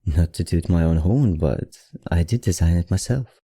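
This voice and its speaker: A man playing a courteous, teasing, ancient vampire librarian in an ASMR roleplay, speaking genteelly with a put-on accent in a low, husky voice. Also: normal speed, dry recording, studio quality. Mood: genial, proud. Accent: put-on, not his own. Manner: genteel, old-fashioned, softly teasing. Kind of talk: ASMR roleplay, addressing the listener.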